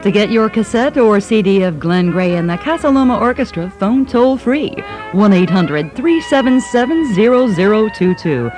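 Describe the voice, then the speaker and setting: A woman's voice: mid-range voice, animated, moderate pace, music in the background. Woman announcer with richly varied intonation. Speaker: commercial announcer. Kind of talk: scripted mail-order music advertisement.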